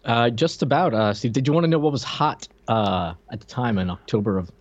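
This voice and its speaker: A man's voice: medium pitch, animated, fairly quick, dry recording, lo-fi sound. A man co-hosting a casual pop-culture podcast, talking in a hesitant, rambling way with joking asides. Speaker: podcast co-host.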